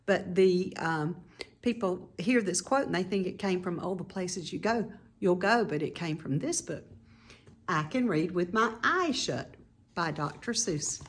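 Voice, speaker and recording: A woman reading a children's picture book aloud in an expressive, teacherly way, with informal explanatory asides to young listeners. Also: lowish voice, measured pace, a little hiss or hum.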